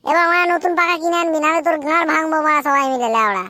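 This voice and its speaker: A man's voice: very high-pitched, very fast, heavy background noise. A man voicing a bossy, foul-mouthed young club lad in a comedy cartoon. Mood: angry, threatening. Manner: scolding, crude.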